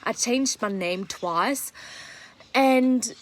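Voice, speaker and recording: A woman's voice: on the high side, very slow. A young woman, a native German speaker, talking in English as a podcast coach in an earnest, enthusiastic live monologue with many fillers.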